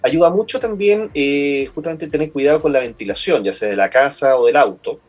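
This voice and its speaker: A man's voice: fairly high pitch, a little fast, darkish tone. A man with a Chilean accent, a lung doctor as a guest, explaining at length and often repeating words as he finds his phrasing. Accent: Chilean Spanish. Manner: patient, repeats words while finding phrasing. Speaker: pulmonologist guest. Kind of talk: explanatory interview answers.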